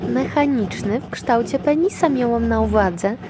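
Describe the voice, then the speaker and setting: An actress playing a brusque, officious clinic attendant in a dystopian future audio drama, clinical and commanding. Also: female voice, fairly high pitch, moderate pace, background noise, close to an everyday voice. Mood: brisk, teasing.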